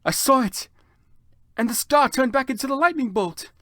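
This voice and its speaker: High, whiny, frantic teenage vampire boy, acted out. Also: lively intonation, normal speed, fairly bright tone, no echo, clean studio sound. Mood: fretful. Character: panicked, wailing teenage vampire boy wizard.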